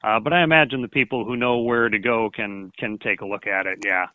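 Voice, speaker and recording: A man chats casually as a radio sports guest, close and dry with very little room around him. He talks in a folksy way, halting and rambling as he goes. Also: on the low side, steady tone, no room sound, narrow, tinny audio. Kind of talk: casual interview chat.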